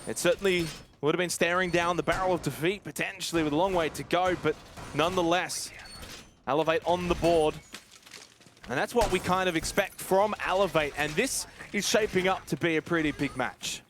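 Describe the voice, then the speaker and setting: A man's voice: on the high side, fairly bright tone, a little hiss or hum. A man giving analytical live commentary on an esports match. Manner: analytical, measured play-by-play. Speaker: esports caster.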